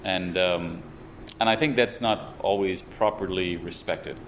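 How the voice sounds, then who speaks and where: A young man with a very low voice talks through his ideas slowly and carefully, often restarting a phrase. An air conditioner hums steadily behind him. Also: fairly bright tone. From United States. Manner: thoughtful, measured, with frequent restarts. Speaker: VR researcher and developer. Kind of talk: explanatory interview talk. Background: air conditioning hum.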